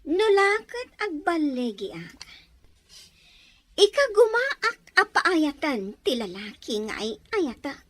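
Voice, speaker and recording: A woman with an Ilocano accent voicing a jealous young woman obsessed with a man who is engaged to someone else, speaking slowly and bitterly. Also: sits high, very animated, a bit bright, no room sound, heavily acted. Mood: defiant. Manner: slow, bitter, swinging between sobs and defiance. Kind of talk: melodramatic audio drama.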